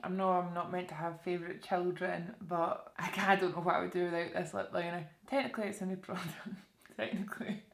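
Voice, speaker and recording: A woman's voice: lowish voice, flat delivery, brisk, creaky. Chatty Scottish woman in an echoey room. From Scotland. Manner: informal, conversational, addresses viewers with questions. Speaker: beauty vlogger presenting a makeup tutorial. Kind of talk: casual chatty makeup tutorial to camera. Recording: roomy, echoey indoor sound.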